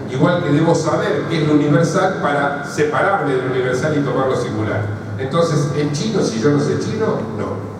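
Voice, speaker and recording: A man with an Argentine accent giving a psychoanalysis seminar, explaining points and checking in with the audience. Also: fairly high pitch. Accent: Argentine Spanish. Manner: explanatory, keeps asking "¿sí?" and "¿está?" to check in. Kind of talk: seminar lecture answering a question. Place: large university lecture hall. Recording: echoey hall from far off, noisy.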